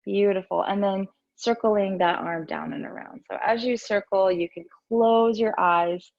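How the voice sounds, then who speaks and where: A woman teaching yoga and meditation, giving gentle, soothing, unhurried guidance with pauses between instructions. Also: mid-range voice, steady tone, gravelly, dull audio.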